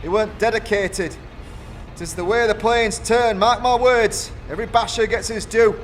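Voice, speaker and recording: A man acts out a gruff, boastful yard foreman for a fantasy audio drama. The voice is pitched high, with rustic grammar and a blustering, self-justifying manner. A faint noise sits behind it. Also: steady tone, normal speed, natural voice. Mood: pompous. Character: gruff, self-important, rough foundry foreman, defensive and boastful.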